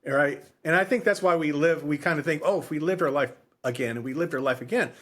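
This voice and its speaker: A man's voice: fairly high pitch, quick pace, a bit bright. A man co-hosting a podcast in a casual book discussion, rambling and often repeating himself. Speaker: podcast co-host.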